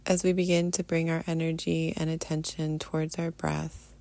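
Low mellow lady voice doing guided meditation, soothing, bit of fry, trails off breathy. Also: level tone. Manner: soothing, unhurried, often trailing into breathy near-whisper. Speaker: guided meditation teacher, podcast host. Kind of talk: guided meditation, soft instruction.

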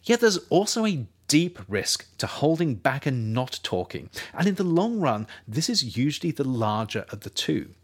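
A man reading his own nonfiction writing aloud as a narrator, measured and explanatory, with emphatic phrasing. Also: medium pitch, big pitch swings, breathy edge. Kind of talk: scripted audiobook-style narration.

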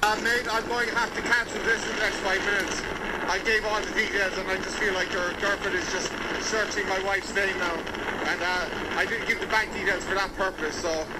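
A man's voice: high-pitched, steady tone, a little fast. A man leaving insistent, defensive voice messages to a buyer, calling him "mate" and pressing him to act. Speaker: seller in voice messages. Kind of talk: recorded voice messages. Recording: noisy phone voice message.

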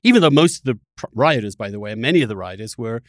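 A man hosts a political discussion in a conversational way. He probes and pushes back, restarting phrases as he builds a point. His voice sits at a medium pitch, recorded dry with little room sound. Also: expressive, racing, no echo. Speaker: podcast host. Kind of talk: conversational political interview and debate.

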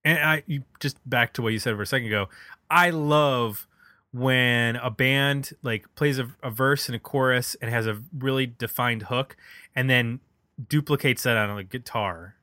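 A man's voice: mid-range voice, normal speed, sharp tone, no echo, clean studio sound. A man in a casual, conversational album discussion, thinking aloud as he goes, like a podcast host.

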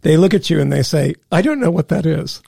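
A man's voice: a little high, lively intonation, brisk, creaky, warm tone, husky, no room sound, clean studio sound. A man hosting a podcast, joking, teasing and rambling through a casual chat. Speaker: podcast host.

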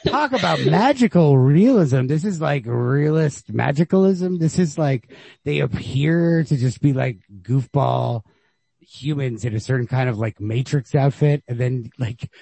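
A man's voice: mid-range voice, expressive, measured pace, vocal fry, mellow, husky, dry recording. A man hosting a podcast, casually discussing a story in a rambling way, retelling it with quoted reactions of his own. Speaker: podcast host. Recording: lo-fi home podcast recording.

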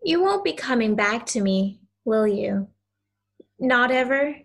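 A kind young girl, acted by a woman, talks in a high voice at a slow pace. She sounds sincere and childlike, a little hesitant, in the style of an audio drama. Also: lively intonation, gravelly, heavily acted. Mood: tender sadness. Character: kind, earnest young girl befriending a mayfly. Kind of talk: audio play acting.

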